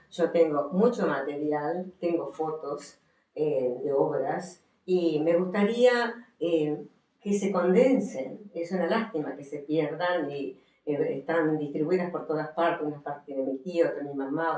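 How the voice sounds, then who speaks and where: A woman's voice: deep voice, a little mellow. An Argentine woman, an author and historian, presenting her work as a guest and recounting it to listeners. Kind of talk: book presentation, reading aloud and recounting. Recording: echoey room, faint music.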